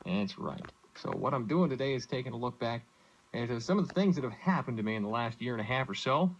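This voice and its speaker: Young American radio DJ, dull old tape. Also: male voice, mid-range voice, expressive, fast talker, slight background noise. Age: young adult. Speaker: radio disc jockey. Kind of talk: casual radio announcing and banter.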